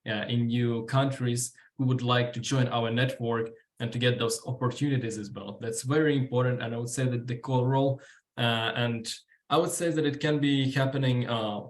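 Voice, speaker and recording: A man in his twenties with a Ukrainian accent, speaking informally and haltingly as a guest at a workshop. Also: mid-range voice, fairly even intonation. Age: 20s. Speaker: guest speaker, student delegate. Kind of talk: informal workshop talk answering questions.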